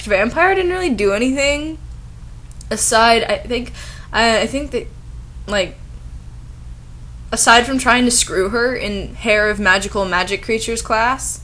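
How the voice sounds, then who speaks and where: A woman's voice: on the high side, pushed and bright. A woman giving sarcastic, exasperated comic commentary as she reviews and reads out bad fanfiction. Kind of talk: comic commentary over a dramatic reading.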